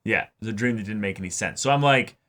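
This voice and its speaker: A man's voice: on the low side, quick pace, fairly bright tone. A man co-hosting a casual anime discussion, rambling and often repeating his phrases. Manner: rambling, repeats phrases, acts out quoted dialogue. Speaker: co-host of anime reaction show.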